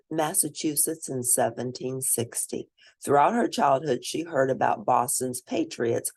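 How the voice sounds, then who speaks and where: A woman narrates a picture book for children in a deliberate, teacherly manner. Her intonation is very animated, rising and dipping widely. The sound is dry, with very little room around the voice. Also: extremely low pitch, no room sound. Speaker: children's read-aloud narrator and educator. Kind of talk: picture book read-aloud with asides to young listeners.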